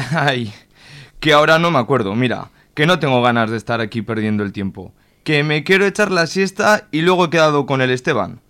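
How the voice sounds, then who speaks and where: A man's voice: medium pitch, lively intonation, a little fast, fairly bright tone, lightly acted. Man acting a grumpy Spanish family man. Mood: irritated. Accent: Spanish from Spain. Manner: curt, irritable. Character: grumpy conservative middle-aged family man, fed up and evasive. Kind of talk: radio serial acting.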